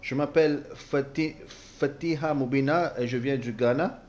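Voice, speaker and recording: A man interpreting a personal testimony, speaking haltingly with repeated words and self-corrections. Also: medium pitch, a little mellow, lo-fi sound. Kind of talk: spoken translation of a personal testimony.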